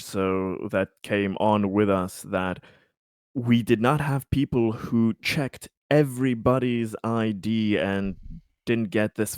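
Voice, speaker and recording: A man talking casually as a player in a tabletop role-playing game, phrasing things haltingly and with measured care. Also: fairly low pitch, a little mellow, no echo, clean studio sound. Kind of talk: casual in-game talk, speaking for a company director character.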